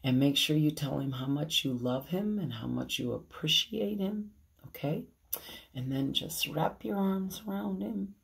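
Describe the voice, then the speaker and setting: A middle-aged woman reading a bedtime story to children, expressively, with theatrical drawn-out emphasis and dramatic pauses. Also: sits low, vocal fry. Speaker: pastor reading children's stories. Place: small room at home, indoors. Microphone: phone at arm's length.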